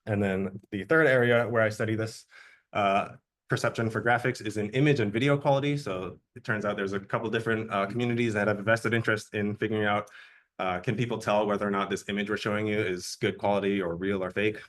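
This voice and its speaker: A young man from Jamaica giving a relaxed, conversational academic seminar talk as a guest researcher. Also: fairly low pitch, quick pace. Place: lecture room.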